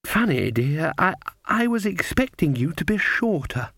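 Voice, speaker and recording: A kindly, rather simple old grandmother, put on by a man, lifted well above his own pitch. She speaks softly and naively, and the recording is close and dry. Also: sing-song, measured pace, slightly rough, dry recording, exaggerated voice. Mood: puzzled. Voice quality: gentle, naive.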